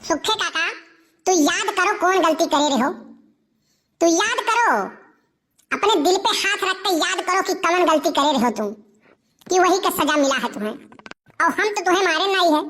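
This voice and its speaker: Woman acting a high-voiced scheming Awadhi village boy. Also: animated, a little slow, long pauses, fairly bright tone, slight background noise, exaggerated voice. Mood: self-justifying. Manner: wheedling, argumentative. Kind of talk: cartoon comedy dialogue.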